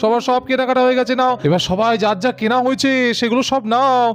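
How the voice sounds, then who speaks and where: A man's voice: very high-pitched, fairly even intonation, fast. A man voicing an impatient husband doll on a family shopping trip in a doll story, in a hurrying manner. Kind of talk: doll-story role play.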